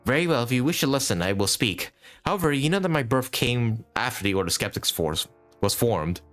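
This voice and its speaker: A man's voice: medium pitch, animated, brisk, a bit bright, natural voice. A proud, formal spirit heroine of a fantasy video game, read aloud by a man. The delivery is lofty storytelling with plenty of rise and fall in a mid-range voice. Faint music sits behind it. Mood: lofty, solemn.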